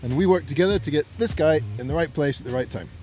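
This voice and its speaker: A man on a race rescue team talks in a relaxed, chatty way. His voice is warm and dark. Faint music plays under him. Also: a little high, fast talker. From Scotland. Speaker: trackside rescue team member. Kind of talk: casual explaining to camera.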